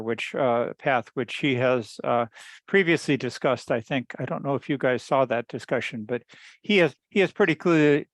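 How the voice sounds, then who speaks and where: Low, creaky-voiced man explaining technical research. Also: no echo. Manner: halting, repeats words, frequent throat clearing. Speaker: engineer presenting research at a teleconference. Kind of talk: unscripted technical explanation on a group call. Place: group teleconference call.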